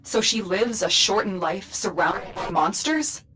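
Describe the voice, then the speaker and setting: A motherly female commander from a video game voiced by a woman, medium in pitch. She speaks in a measured, insistent, protective way, with clear noise and clicks behind her. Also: expressive, lightly acted. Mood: concerned, firm. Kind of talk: dramatic video game dialogue.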